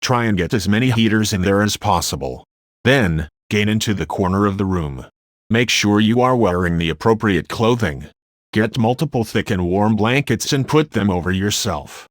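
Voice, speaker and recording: A sombre weather expert acted by a man gives plain survival instructions for a radio drama. His voice sits fairly low and the recording is very dry. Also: expressive, moderate pace, no echo, natural voice. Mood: grave, instructive. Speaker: radio show guest expert. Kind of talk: scripted radio drama monologue.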